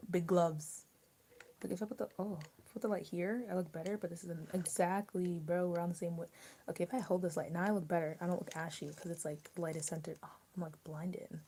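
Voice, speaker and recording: Young woman livestream host, low mellow voice, rambling chat, slips into near-whispers, faint background hiss. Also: fairly even intonation, brisk. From US mid-Atlantic, rural border area. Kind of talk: casual chat with live viewers while eating.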